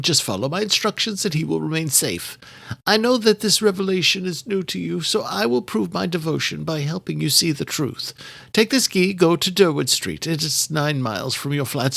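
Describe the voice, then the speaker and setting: A man's voice: a little high, moderate pace, creaky, fairly bright tone, dry recording, voice pushed up. A man voicing an obsessed serial killer in a horror audiobook, commanding and intimate as he courts a woman. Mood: menacing devotion.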